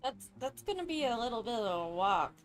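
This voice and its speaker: A woman's voice: fairly high pitch, big pitch swings, brisk, faint music behind. A woman streaming a game with casual commentary and wry asides. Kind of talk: casual live gameplay commentary.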